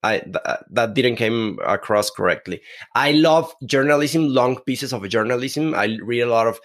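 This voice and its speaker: A man from Mexico with a Mexican accent, a podcast guest who talks haltingly with many restarts. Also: mid-range voice, expressive. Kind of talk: conversational interview.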